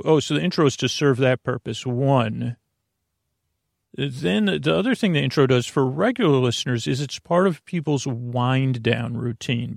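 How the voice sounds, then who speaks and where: A man hosting a bedtime podcast in a rambling, halting monologue, whimsical and self-deprecating, drifting into digressions and losing his thread. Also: mid-range voice, gravelly, no echo, studio quality. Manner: hesitant, halting, with frequent digressions and lost trains of thought. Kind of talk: rambling, meandering monologue to listeners.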